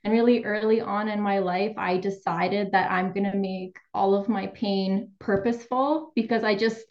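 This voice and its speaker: A woman's voice: medium pitch, steady tone, normal speed, a little mellow, highs cut off. A woman from Ukraine, a somatic therapist and coach, telling her personal story openly in a remote interview. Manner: open, reflective, laughs lightly.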